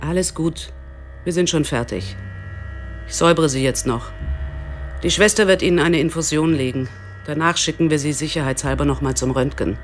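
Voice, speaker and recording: An actress playing a brisk female hospital doctor in a radio drama, matter-of-fact and reassuring. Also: low-pitched, faint music behind, close to an everyday voice. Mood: calm, businesslike.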